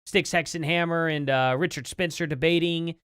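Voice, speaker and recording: American streamer just chatting with the viewers, rambly and informal, middle pitch, really dry sound. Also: fairly even intonation, normal speed, fairly bright tone, clean tone, dry recording. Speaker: livestream host. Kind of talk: casual livestream chat, reacting to clips and news.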